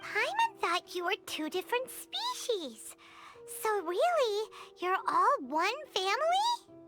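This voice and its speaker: A woman's voice: huge pitch swings, slow, sharp tone, faint music behind, heavily acted. A woman voicing a tiny, childlike fairy companion in a video game, curious and blunt in manner. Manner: squeaky, inquisitive, speaks of herself in third person. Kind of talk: cartoonish video game voice acting.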